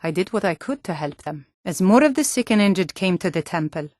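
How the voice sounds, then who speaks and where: A woman voicing a sturdy, plain-spoken Nord townswoman in a fantasy game, speaking scripted lines in a measured way. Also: on the low side, expressive, darkish tone, no echo, clean studio sound. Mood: reflective.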